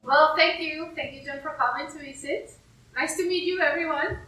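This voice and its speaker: A woman's voice: high voice, fairly even intonation, moderate pace, sharp tone. A woman from Peru speaking as a curator in an informal interview, explaining things in simple, everyday terms. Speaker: university herbarium curator and plant taxonomist. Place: indoor herbarium room. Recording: echoey room, distant mic, faint noise.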